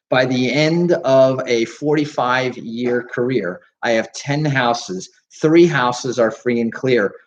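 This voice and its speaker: A man hosting a live radio talk show, with an energetic, salesy way of talking and frequent fillers. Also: medium pitch, dull audio. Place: radio studio.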